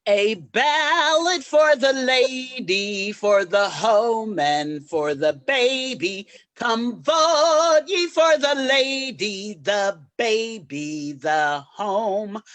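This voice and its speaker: A woman's voice: a little high, a bit bright, exaggerated voice. Lady doing an earnest suffragist reciting rhymes on stage, really drawn out. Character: earnest woman suffragist reciting campaign verse. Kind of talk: slow theatrical verse recitation.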